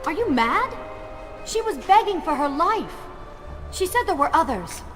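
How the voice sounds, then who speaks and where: 芯の強い誠実な若い王女ファラ. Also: female voice, extremely high pitch, very animated, measured pace, fairly bright tone, soft background music, heavily acted. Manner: earnest, reproachful, firm. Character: principled, compassionate young princess, defiant.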